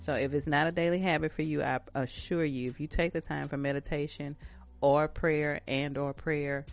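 A woman's voice: deep voice, fairly even intonation, moderate pace, gravelly, faint music behind. A woman hosting a casual self-care talk, chatting directly to her listeners in an encouraging, repetitive way, a little stuffy-nosed from allergies. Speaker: host.

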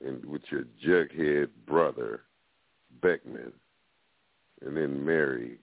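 A man with an extremely deep, creaky voice teases in a slow, mocking drawl. His banter is profane and unhurried, as a co-host on a talk show. He comes through a narrow, phone-like line. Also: no echo. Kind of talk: teasing banter. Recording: dry, tinny phone line.

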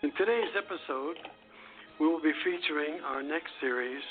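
A man hosting an internet radio show, reading scripted announcements and narration with a halting, stumbling delivery. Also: fairly high pitch. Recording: phone-like narrow band, faint music.

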